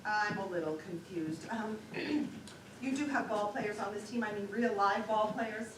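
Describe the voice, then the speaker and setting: A woman's voice: mid-range voice, unhurried, close to an everyday voice. A woman playing a polite, earnest newspaper reporter who asks insistent, confused questions in a stage comedy routine. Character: polite, increasingly confused female newspaper reporter, new to baseball. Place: small-town theater stage. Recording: distant mic in echoey theater, noisy.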